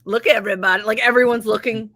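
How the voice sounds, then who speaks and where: A woman in a casual book discussion, informal and animated, putting questions to the others and agreeing readily. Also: a little high, rapid-fire, dry recording. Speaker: discussion moderator.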